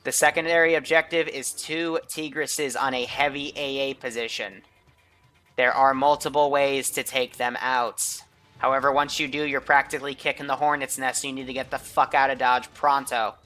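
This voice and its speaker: Bright-voiced blunt man briefing gamers. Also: medium pitch, flat delivery, normal speed, faint music behind. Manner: blunt, sweary, sardonic. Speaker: mission commander in an online military game. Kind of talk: mission briefing over game voice chat.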